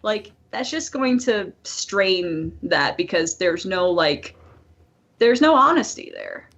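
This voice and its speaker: A woman's voice: medium pitch, very animated, takes it slowly, fairly bright tone, lo-fi sound. A woman co-hosting a casual podcast over an online call, with a blunt, sweary way of talking. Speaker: podcast co-host.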